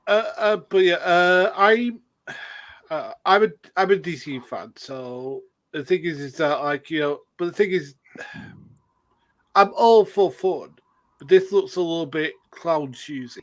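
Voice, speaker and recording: A man giving his opinion in a casual discussion, halting and often repeating himself as he qualifies what he says. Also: fairly high pitch. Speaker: discussion panelist. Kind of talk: casual debate.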